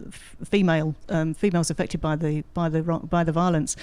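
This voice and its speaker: A woman's voice: sits low, little pitch movement, fairly quick, darkish tone, smooth, no room sound. Woman academic in close, dry studio sound. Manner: articulate, explanatory, thinking aloud with rhetorical questions. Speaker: guest academic expert, drama lecturer. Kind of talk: radio interview, explanatory discussion. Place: radio studio.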